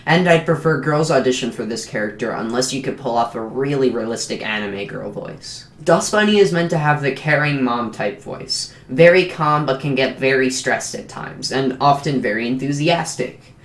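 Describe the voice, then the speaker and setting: A man's voice: mid-range voice, lively intonation, normal speed, few pauses, a bit bright. Guy doing a scripted explainer voiceover, regular mid voice, kinda echoey room. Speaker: animator and show creator announcing auditions. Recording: roomy, echoing voice recording.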